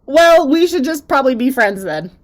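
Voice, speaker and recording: Upbeat woman host, slightly high voice, encouraging teacher on a call. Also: lively intonation, moderate pace. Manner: enthusiastic, encouraging, laughs often. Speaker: flute teacher and masterclass host. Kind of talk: casual masterclass chat on a video call. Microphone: video call.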